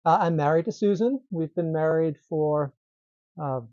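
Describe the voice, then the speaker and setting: A man's voice: a little high, normal speed, a little mellow, no echo. A middle-aged man from Massachusetts, a meteorologist guest chatting in an easygoing way on a podcast. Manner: easygoing, laughs lightly, restarts phrases. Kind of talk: casual interview chat. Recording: slightly dull lo-fi sound.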